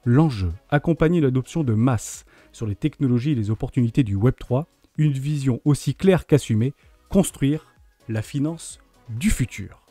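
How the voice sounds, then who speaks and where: A man's voice: mid-range voice, animated, normal speed, warm tone, dry recording, faint music behind. A man hosting a video interview, reading a formal introduction and asking questions with enthusiasm. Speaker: host.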